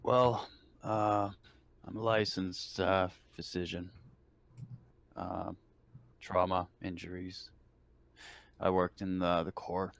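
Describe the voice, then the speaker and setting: A man playing a gruff, world-weary military medic in a tabletop role-playing game, talking in a dry, laconic way. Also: low voice, little pitch movement, slow, pauses often, heavily acted. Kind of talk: improvised tabletop role-play.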